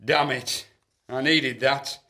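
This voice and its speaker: An actor playing a lusty, boozy duke in a period comedy radio play, pompous and exclaiming. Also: male voice, medium pitch, steady tone, takes it slowly, bright, forceful tone. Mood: irritated, flustered. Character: lusty, blustering, boozy duke on his wedding night.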